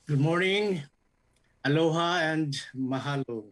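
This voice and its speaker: Slow, halting Filipino man. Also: on the high side, poor audio. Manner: hesitant, halting. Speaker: university president. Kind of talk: informal opening of a formal message.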